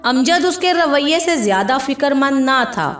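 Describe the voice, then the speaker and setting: A woman reading a scripted moral story as a narrator, in a measured, dramatic storytelling manner. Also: high voice, lively intonation. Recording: echoey voice with music behind.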